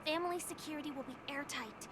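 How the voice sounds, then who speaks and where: A woman as a high-voiced, cautious tactician. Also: fast talker, background noise, close to an everyday voice. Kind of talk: video game voice acting.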